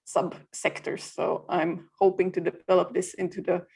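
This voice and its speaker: A woman in her thirties from Finland, a native Swedish speaker, talks about her work in a plain, matter-of-fact way. Her voice sits fairly low and has a gravelly, creaky edge, with small "uh" pauses between thoughts. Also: flat delivery, a little mellow. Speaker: guest, freelancer community co-founder. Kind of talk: podcast interview.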